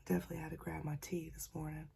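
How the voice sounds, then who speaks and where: A woman sharing a casual spiritual message, talking softly because she is a little sick, in a husky, near-whispered voice and a folksy manner. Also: sits low, little pitch movement, very fast, slight background noise. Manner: folksy, calls listeners y'all and child. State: slightly sick, keeping voice soft. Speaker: spiritual channeler.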